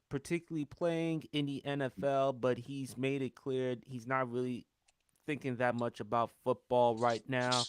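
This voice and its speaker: A man's voice: medium pitch, smooth, no room sound, clean studio sound. Thirty-year-old man hosting a sports podcast. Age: 30s. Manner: opinionated, lays out arguments step by step. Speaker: podcast host. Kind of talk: casual sports podcast talk.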